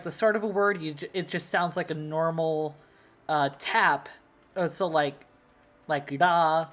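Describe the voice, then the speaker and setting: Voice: mid-range voice, a bit bright. Rambling young adult streamer. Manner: rambling, fillers like "like", laughs easily. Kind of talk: casual livestream chat.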